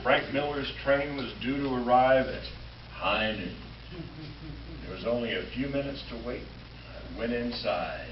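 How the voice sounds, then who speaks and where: A man playing an earnest, beleaguered Western lawman in 1940s radio comedy style, deliberate and dramatic with exasperated asides. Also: medium pitch, lo-fi sound, natural voice. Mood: dramatic narration. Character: beleaguered, earnest Western lawman narrating his own predicament. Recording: roomy, distant, noisy live stage recording.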